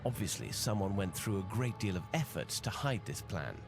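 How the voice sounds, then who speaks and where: An analytical gentleman detective for a video game. His voice sits fairly low but moves expressively. He reasons formally and gravely, with prominent music underneath. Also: expressive. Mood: analytical, composed. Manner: measured, formal, deductive. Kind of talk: video game cutscene acting.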